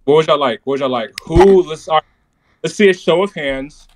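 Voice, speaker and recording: A man's voice: a little high, fairly quick, lightly acted. A young man playing a hungry, easygoing boyfriend in a casual improvised game roleplay.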